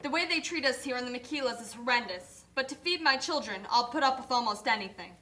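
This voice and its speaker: A woman's voice: high voice, lively intonation, quick pace, bright, forceful tone. A woman voicing an indignant, put-upon factory worker and mother in an amateur puppet show, earnest and pleading. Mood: indignant, weary.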